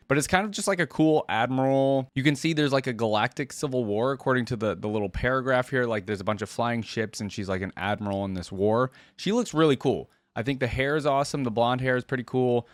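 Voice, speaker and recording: A man's voice: lowish voice, no echo. Casual man rambling through a reaction, dry sound. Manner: rambling, hedging his opinions; informal, conversational, addressing viewers directly. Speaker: reaction video host.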